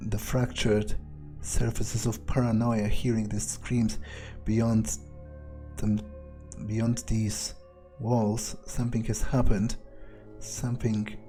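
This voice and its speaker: A man's voice: fairly low pitch, little pitch movement, slow pace, dark tone, faint music behind. A man playing a cynical, foul-mouthed vampire in a video game, muttering impatiently as he thinks aloud. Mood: brooding, reading aloud. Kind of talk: in-character video game playthrough monologue.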